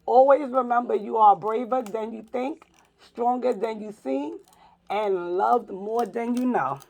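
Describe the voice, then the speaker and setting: A playful vlogger from New York with a gravelly edge to the voice talks casually through a meal. The pitch sits in the middle, and the delivery is loose and repetitive. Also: measured pace, darkish tone. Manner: playful, repeats words, talks while eating. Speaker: vlog co-host. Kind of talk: casual mukbang chat.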